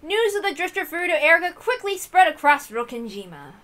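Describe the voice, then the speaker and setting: High, bright-voiced woman narrating. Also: very animated, a little fast. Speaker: reader of a visual novel. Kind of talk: dramatic scripted narration.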